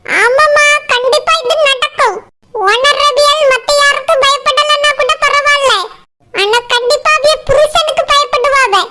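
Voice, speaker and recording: A woman's voice: very high-pitched, steady tone, unhurried, background noise. A woman voicing a cocky, self-important young girl in a comedy cartoon, talking boastfully in Kanyakumari Tamil. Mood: smug, confident.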